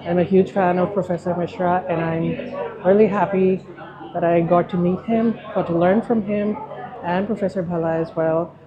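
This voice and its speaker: A woman's voice: lowish voice, fairly even intonation, normal speed. A woman doctor from Pakistan enthusiastically recommending a training course to camera. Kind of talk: testimonial to camera. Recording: dull audio, crowd chatter behind.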